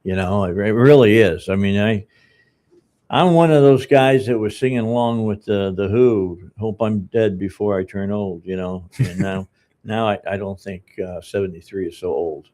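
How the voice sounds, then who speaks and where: A man's voice: lowish voice, mellow. Rambling man in his seventies. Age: 70s. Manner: rambling, halting with fillers and restarts. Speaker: radio talk show host. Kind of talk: casual on-air chat.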